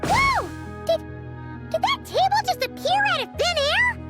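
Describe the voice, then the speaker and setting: A woman voicing a tiny, excitable, childlike female companion with a squeaky voice in an anime video game. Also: a little slow, soft background music, full character voice. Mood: excited, surprised. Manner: exclamatory, refers to herself by name.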